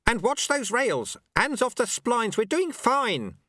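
A man's voice: high-pitched, very animated, moderate pace, dry recording, studio quality, pitched above the performer's own voice. Man acting a bossy old sweeper monk, raised urgent voice. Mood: urgent, shouting. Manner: commanding, shouting. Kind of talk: audiobook character voice.

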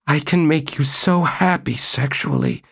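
A man's voice: a little high, huge pitch swings, a little slow, creaky, breathy edge, voice pushed up, exaggerated voice. Guy putting on a fierce young woman, raised voice, snarly and pushy, audiobook. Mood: coaxing. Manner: snarling, coaxing.

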